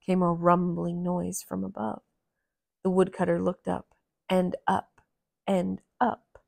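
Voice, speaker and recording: A woman reading a children's picture book aloud in a deliberate, expressive storyteller's manner. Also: lowish voice, vocal fry, dry recording, clean studio sound.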